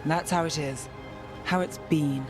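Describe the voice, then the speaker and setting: An actress playing a guarded, world-weary detective in a fantasy video game, speaking in a wry, clipped, restrained way. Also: female voice, low voice, fairly even intonation, measured pace, music in the background. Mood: weary, troubled. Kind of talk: video game cutscene acting.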